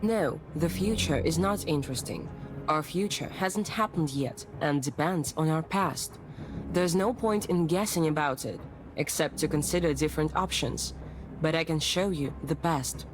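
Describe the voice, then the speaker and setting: An actress plays a mysterious fortune-teller in a detective visual novel. She is self-assured, coaxing and teasing, with a lowish female voice over prominent music. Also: moderate pace, lightly acted. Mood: serious, probing.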